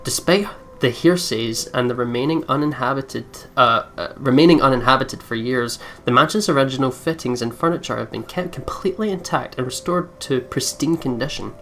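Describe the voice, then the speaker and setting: A woman's voice: very deep voice, fairly quick, few pauses, a bit bright, slight background noise. A woman hosting a let's play, casually commenting and reading the game text aloud in a chatty way.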